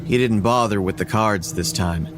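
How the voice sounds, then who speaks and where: A man's voice: fairly low pitch, normal speed. A man reading a scripted first-person horror story as its narrator. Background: music.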